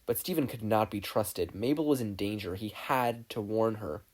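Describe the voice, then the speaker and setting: A narrator reading a fan fiction story aloud in an expressive, scripted style. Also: medium pitch, normal speed. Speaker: podfic narrator.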